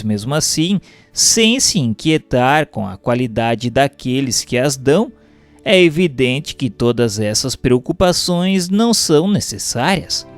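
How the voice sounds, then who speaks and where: A man with a Brazilian accent reading a doctrinal text aloud as a narrator, in a formal, measured, didactic way. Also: fairly high pitch, smooth. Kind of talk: scripted audiobook-style narration of a doctrinal text.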